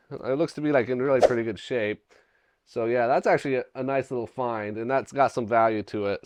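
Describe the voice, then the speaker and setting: A middle-aged man with a voice left hoarse by a recent cold, chatting loosely and thinking aloud as he sorts through bags and boxes. His pitch sits in an ordinary middle range. The sound is dry and close, picked up by a camera strapped to his chest in a dim room. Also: no echo. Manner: rambling, thinking aloud while digging through items. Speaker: storage locker reseller, channel host. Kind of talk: casual unboxing vlog. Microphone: chest-mounted camera.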